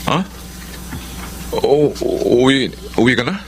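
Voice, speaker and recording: A man playing a cold, guilty, unfaithful husband, halting and evasive, in a Filipino radio drama. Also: mid-range voice, a little hiss or hum, natural voice. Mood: awkward, guilty. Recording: radio drama with music underneath.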